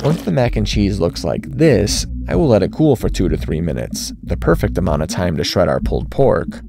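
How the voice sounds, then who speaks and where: A deep-voiced man in his thirties narrates a recipe in a steady, scripted voice-over. His voice has a gravelly fry and a lively, swinging pitch. Faint music plays underneath. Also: normal speed. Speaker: cooking channel host.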